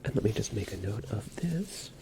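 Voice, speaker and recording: A man whispering softly and soothingly as a reassuring doctor in an ASMR exam roleplay. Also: on the low side, expressive, normal speed, very noisy. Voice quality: whispered, breathy soft voice. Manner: gentle, soothing, reassuring instructions. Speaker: ASMR performer playing a doctor.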